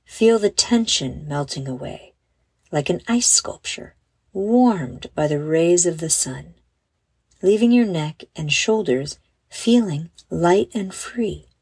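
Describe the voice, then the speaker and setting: Slow, breathy woman guiding a calm meditation. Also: on the low side, no room sound. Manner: soothing, gentle, encouraging. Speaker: host and meditation guide for children. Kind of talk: guided meditation, scripted narration.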